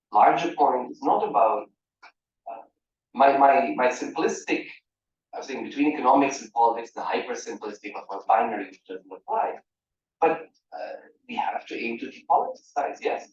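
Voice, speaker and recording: A man from Portugal makes his case on a discussion panel, animated and restless, stopping to restart phrases and checking in with "right?". He sounds distant from the mic, and the echo of a large room rings around him. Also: medium pitch, a little hiss or hum. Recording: roomy, distant, dull hall mic.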